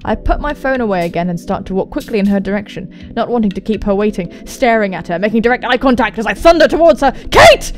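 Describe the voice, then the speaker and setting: A woman's voice: mid-range voice, lively intonation, fast talker, hardly any pauses. A young woman hosting a gaming video, reading a visual novel aloud with sweary, jokey asides. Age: young adult. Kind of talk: let's play commentary and visual novel read-aloud. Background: music.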